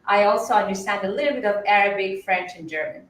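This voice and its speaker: A woman's voice: mid-range voice, fast, a bit bright, recorded from a distance, slight background noise. A woman mediator whose first language is Farsi gives a friendly, fluent self-introduction to an online panel. Her voice sits back from the mic in a roomy space. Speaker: mediator and attorney.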